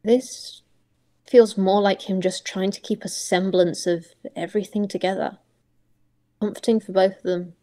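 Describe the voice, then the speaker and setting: A woman improvising story narration for a roleplay, in reflective, halting phrases. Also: mid-range voice, fairly even intonation, warm tone.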